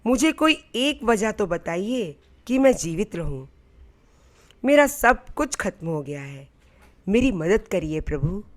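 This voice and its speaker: A woman's voice: mid-range voice, big pitch swings, takes it slowly. A woman voicing a defeated, weary male merchant pleading in despair in a storybook tale. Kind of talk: storybook narration.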